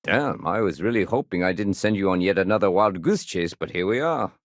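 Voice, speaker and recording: Low-voiced, gruff police sergeant. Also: male voice, expressive, fairly quick, no room sound, clean studio sound. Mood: wry. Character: gruff, no-nonsense male police sergeant holding the line. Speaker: video game character. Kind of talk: video game dialogue.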